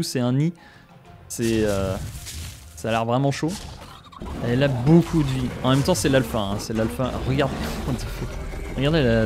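Excitable French gamer, noisy game sound. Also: male voice, fairly high pitch. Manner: excitable, exclaiming, talks straight to viewers. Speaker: gaming YouTuber. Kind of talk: let's play commentary.

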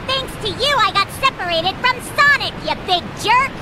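A woman's voice: extremely high pitch, expressive, moderate pace. A woman playing a feisty, bossy young hedgehog girl in a video game cutscene. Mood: bossy, angry. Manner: bossy, peppy, scolding.

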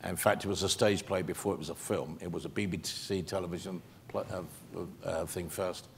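A man's voice: sits low, steady tone, normal speed, vocal fry, breathy edge. A man in his sixties with a British accent, telling stories on a theater stage during an audience Q&A, chatty and full of ums and restarts. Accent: British English. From England. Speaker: actor answering audience questions. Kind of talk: stage Q&A storytelling. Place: theater stage, seated in armchair.

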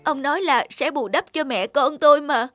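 A woman voicing a proud, hurt young adult daughter in an animated drama, emotional and insistent. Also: high-pitched, moderate pace, soft background music. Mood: tearful pleading.

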